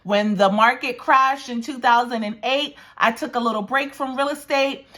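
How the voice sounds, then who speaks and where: A woman's voice: fairly high pitch, moderate pace, a bit bright. A woman in her forties from Washington, D.C., a realtor talking casually to camera from her dining room, emphatic and repeating her points. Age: 40s. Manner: emphatic, repeats phrases, addresses viewers as guys. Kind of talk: casual direct-to-camera market talk. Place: dining room at home by a windy patio.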